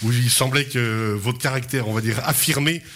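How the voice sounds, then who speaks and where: Guy hosting local radio, laughs a lot, lots of euhs, mid-pitched voice. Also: normal speed, a little hiss or hum. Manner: lively, laughs often, hesitant fillers. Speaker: radio show host. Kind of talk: casual radio chat.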